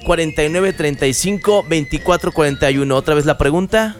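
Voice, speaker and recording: A man's voice: on the high side, faint music behind. Playful Mexican man co-hosting radio. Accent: Mexican Spanish. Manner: quick banter, playful interjections. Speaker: show co-host. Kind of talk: casual radio chat.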